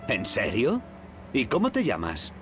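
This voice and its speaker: A man's voice: mid-range voice, wildly swooping pitch, measured pace, fairly bright tone, a little hiss or hum. A man voicing a friendly, chatty train porter in a cartoon dub, with a Castilian Spanish accent.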